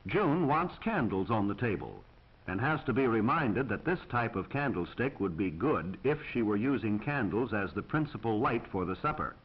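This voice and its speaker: Formal american guy narrating an old 1940s how-to film, lively pitch, slightly husky, muffled soundtrack. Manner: formal, precise, instructive.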